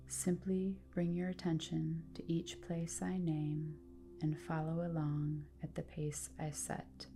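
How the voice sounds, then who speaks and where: A woman guiding a relaxation meditation in a calm, soothing manner, speaking in deliberate phrases with pauses. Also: low-pitched, vocal fry. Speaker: yoga nidra guide. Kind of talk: guided relaxation meditation, scripted narration.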